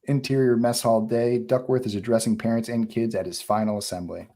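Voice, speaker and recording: A man's voice: medium pitch, fairly even intonation, brisk, dark tone. A man narrating stage directions from a film script at a live table read.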